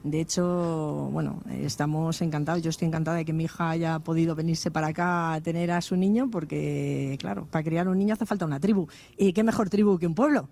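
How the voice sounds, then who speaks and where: A woman's voice: sits low, steady tone, fairly quick, creaky, hardly any pauses, mellow. A woman, chatting with humor as an interview guest outdoors in a village. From Madrid, Spain. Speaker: interviewee, vocational teacher. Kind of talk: casual radio interview.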